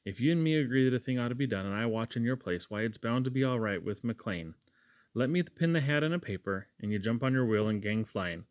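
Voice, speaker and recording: A man reads as a warm-hearted housewife from a storybook. She is practical and fussy, scolding in an emphatic, homely way, with her pitch lifted a little and very little room sound. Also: expressive, brisk, no room sound, lightly acted. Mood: brisk, insistent.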